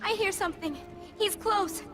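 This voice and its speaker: A woman's voice: very high-pitched, animated, measured pace. An actress playing a frightened, desperate young girl in a video game. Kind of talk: video game cutscene acting. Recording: distant, under loud music.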